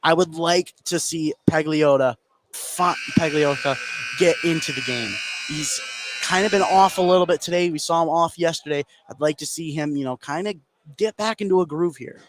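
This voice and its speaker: Male color analyst, slightly high voice, live game analysis, faint gym background. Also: moderate pace. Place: indoor gym. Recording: gym noise behind voice. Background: gym ambience.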